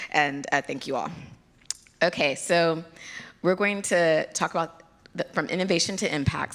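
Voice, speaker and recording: A Nigerian American woman speaks into a stage microphone at a conference, with a low, gravelly voice. Her talk is chatty and self-deprecating, and she laughs often with the audience. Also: a bit bright. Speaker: pediatric hematologist, keynote speaker.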